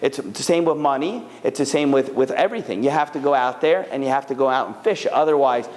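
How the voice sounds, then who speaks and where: A man's voice: mid-range voice, fast, in a large hall. A man talking casually and animatedly to a live audience, answering questions. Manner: chatty, animated, full of fillers.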